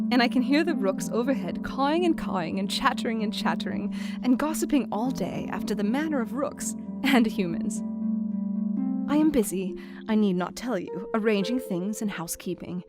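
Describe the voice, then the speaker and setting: A woman playing a chatty, affectionate young wife reading out her period letter in an audio drama, expressive and gently formal. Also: mid-range voice, normal speed, lightly acted. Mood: fond, contented. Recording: instrumental music under the voice.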